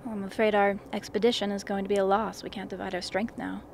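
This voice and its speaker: A woman's voice: mid-range voice, flat delivery, fast talker, vocal fry, lightly acted. A woman playing a worried, weary expedition commander in a radio play. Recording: faint background noise.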